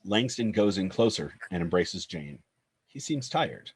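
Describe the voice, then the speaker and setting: A man narrates screenplay action and scene headings. His voice sits low with a rough, husky grain, recorded dry and close. The reading is scripted. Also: sing-song, measured pace, no echo. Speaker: narrator reading stage directions.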